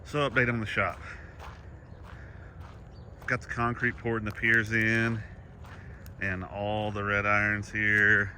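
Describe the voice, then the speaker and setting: Stuffy-nosed man with a deep voice, casual vlog walkthrough outside, noisy outdoor background. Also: flat delivery, slow pace, long pauses, pushed and bright. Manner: easygoing, matter-of-fact, rambling. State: congested, stuffy nose. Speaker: vlogger. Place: outdoors on a rural property. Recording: outdoor noise behind the voice.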